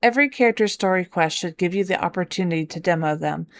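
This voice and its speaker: A woman's voice: on the low side, quick pace. A woman reading scripted narration as the storyteller of a fan-written game quest.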